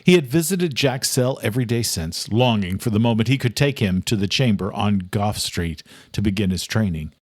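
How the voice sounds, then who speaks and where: A man reading a scripted audiobook as narrator, telling the story in a dramatic, measured way. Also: fairly low pitch, expressive, no room sound, studio quality.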